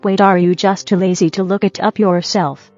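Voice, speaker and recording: A woman's voice: medium pitch, level tone, normal speed, a little mellow. A woman co-hosting in scripted banter, with a dry, sarcastic and needling manner. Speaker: co-host. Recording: dull dry audio, music behind. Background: background music.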